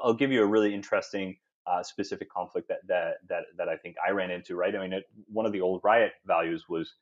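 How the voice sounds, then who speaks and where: A man's voice: deep voice, dull audio. A man from Europe, a podcast guest speaking thoughtfully, often restarting and repeating words as he thinks. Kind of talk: podcast conversation.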